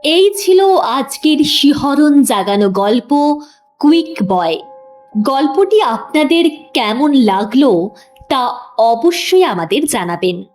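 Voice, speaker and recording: A woman narrating a scripted story in a measured, expressive storytelling manner. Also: sits high, soft background music.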